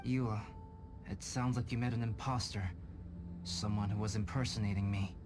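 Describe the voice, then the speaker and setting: A man playing a calm, analytical young alchemist knight in a video game, speaking in a measured, formal way. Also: on the low side, background noise, close to an everyday voice. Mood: composed, analytical. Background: game music.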